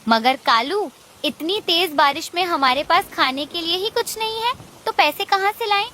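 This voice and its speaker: A small female cartoon bird, played by a woman, speaks in a high, bright voice. She is earnest and imploring, a hungry creature in a children's tale, with faint noise behind her. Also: expressive, normal speed, full character voice. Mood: pleading. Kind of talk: animated children's moral story dialogue.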